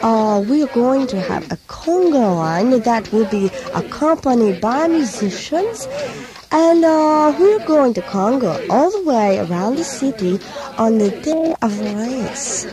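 A woman's voice: on the high side, sing-song, a little slow. An actress playing a young woman student protester, blunt and indignant, in a radio drama interview. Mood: indignant, scornful. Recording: crowd noise behind the voice.

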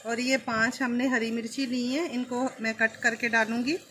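A fairly high-voiced woman, talking a home cook through a recipe step by step. Her delivery is calm and instructive, with faint background noise behind her. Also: normal speed. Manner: calm, explains each step while cooking. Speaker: cooking channel host.

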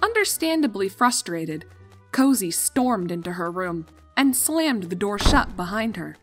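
A young woman narrates a story from a script. Her voice sits at a middle pitch, with music playing faintly behind her. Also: big pitch swings, measured pace.

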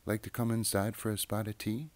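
A man's voice: on the low side, little pitch movement, fairly quick, a little mellow, pitched above the performer's own voice, lightly acted. A man reading aloud and voicing a messy, cheerful male pack rat in a storybook, in a chatty, breezy way.

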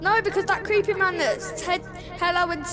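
A woman's voice: extremely high pitch, moderate pace. A woman chatting casually and playfully as she plays a game with friends. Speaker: gamer playing with friends. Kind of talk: casual playful gameplay chat. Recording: game voice chat under very loud music. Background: very loud game music.

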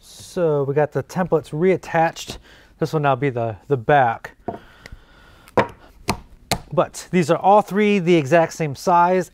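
A man's voice: a little high, a little hiss or hum. Man explaining woodworking in his workshop. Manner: relaxed, thinking aloud while working. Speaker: woodworking channel host. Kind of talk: casual workshop how-to narration. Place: indoor home workshop.

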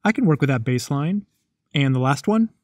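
A man's voice: on the high side, lively intonation, normal speed, heavy background noise. Man narrating a playful cooking lesson. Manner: playful, jokey instructional tone. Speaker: cooking show host.

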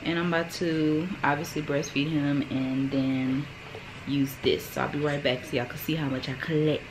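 A woman's voice: low voice, steady tone, normal speed. A young adult American woman vlogging, chatting casually to the camera in a bedroom at home. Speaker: vlogger and stay-at-home mother. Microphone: camera at arm's length. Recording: clearly noisy room ambience.

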